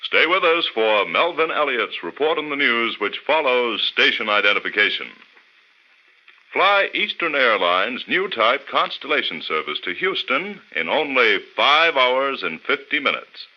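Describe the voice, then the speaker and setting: Station announcer guy, old-time radio, really lively intonation, dull lo-fi sound. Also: medium pitch, unhurried. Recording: muffled old radio recording.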